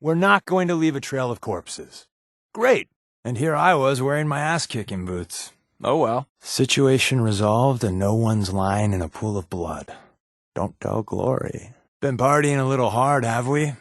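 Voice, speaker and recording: An actor playing a wisecracking undercover agent and loyal sidekick in a video game, with an American accent and a breezy, sarcastic way of talking. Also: male voice, medium pitch, expressive, measured pace, dry recording, studio quality. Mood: sardonic, breezy. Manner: sarcastic, breezy, casual quips. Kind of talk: game companion voice lines.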